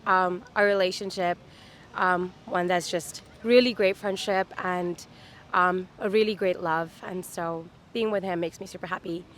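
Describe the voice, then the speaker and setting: A woman's voice: fairly low pitch, fairly even intonation, unhurried, fairly bright tone, a little hiss or hum. A woman in her thirties from Dubai, chatting upbeat as a street interview guest, with many fillers. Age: 30s. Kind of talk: casual chat. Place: outdoors on a city street.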